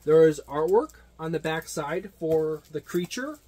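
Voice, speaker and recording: A man giving a casual, unscripted product overview as a hobby reviewer, talking haltingly and wandering into side remarks. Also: fairly high pitch, animated, mellow, a little hiss or hum. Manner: halting, digressive delivery. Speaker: tabletop gaming reviewer.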